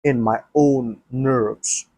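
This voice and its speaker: Non-native English male, husky mid voice, self-talk to camera, repeats and restarts a lot. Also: medium pitch, steady tone, extremely slow, dark tone, no room sound. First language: not English. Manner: thinking aloud with many restarts and repeats. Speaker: language learner. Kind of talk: casual solo talk to camera.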